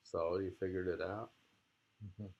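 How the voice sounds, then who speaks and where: A man casually reading poetry and talking it over in a halting, musing way. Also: sits low, level tone, darkish tone, highs cut off. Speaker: reader and host of poetry readings.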